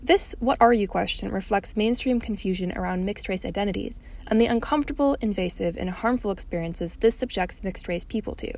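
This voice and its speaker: A woman narrating a scripted feature in a measured, formal delivery. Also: mid-range voice, darkish tone.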